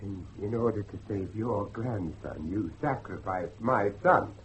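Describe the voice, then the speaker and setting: A man playing a stern, agitated gentleman in a period horror audio drama, with formal, demanding speech. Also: on the low side, lively intonation, unhurried. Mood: accusing. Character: stern, agitated gentleman, father defending his son. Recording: dull lo-fi audio with faint noise.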